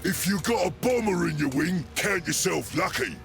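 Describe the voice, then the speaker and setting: A man playing a gruff, bossy ork squadron leader in a video game, with a growling, gravelly Cockney English voice. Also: sits high, expressive, moderate pace, a bit bright, soft background music, heavily acted. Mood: rousing, aggressive. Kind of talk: video game character acting.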